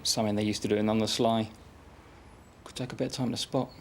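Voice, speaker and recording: An actor playing a calm, confident detective constable in a TV police drama. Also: male voice, fairly low pitch, fast, a little hiss or hum, lightly acted. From London.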